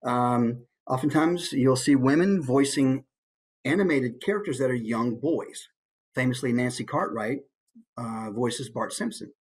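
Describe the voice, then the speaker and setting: Man, voice-acting coach, informal presentation, slightly rough-edged voice. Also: medium pitch, lively intonation, unhurried. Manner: demonstrates points with brief put-on voices. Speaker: voice actor giving a coaching presentation.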